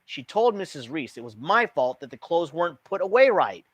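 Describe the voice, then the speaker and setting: A man's voice: on the high side, sing-song, normal speed, slightly rough, no echo. A man voicing an upset, sulky young girl in a children's storybook. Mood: hurt.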